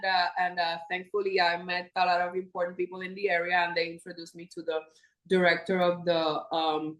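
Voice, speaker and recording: A woman's voice: lowish voice, reverberant, rough recording. A woman in her fifties with a Puerto Rican accent, a guest chatting freely, laughing often and restarting her sentences. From Puerto Rico. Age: 50s. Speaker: podcast guest. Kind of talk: casual interview chat.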